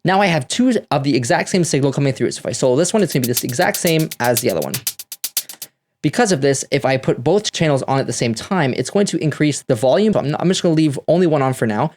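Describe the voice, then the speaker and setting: A man's voice: mid-range voice, lively intonation, quick pace, a little mellow. A man hosting a music production tutorial, talking through the steps in a casual, instructional way.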